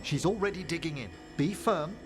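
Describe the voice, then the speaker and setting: A scholarly necromancer in a fantasy video game, played by a man. He is courteous and eager, with formal, theatrical phrasing and music clearly behind him. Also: a little high, normal speed, lightly acted. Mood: focused, urgent. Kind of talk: dramatic game acting.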